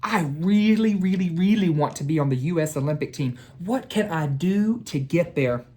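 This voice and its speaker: A woman's voice: fairly low pitch, moderate pace, natural voice. A woman reading a children's storybook, voicing an eager, earnest young boy with big dreams. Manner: earnest, excitable. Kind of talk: children's storybook read-aloud.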